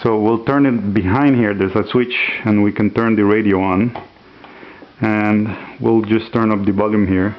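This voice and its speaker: Relaxed hobbyist man, lots of fillers. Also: on the low side, moderate pace, highs cut off. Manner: relaxed, hesitant with frequent fillers. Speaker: hobbyist demonstrating a homemade radio. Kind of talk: casual show-and-tell demo. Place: at home.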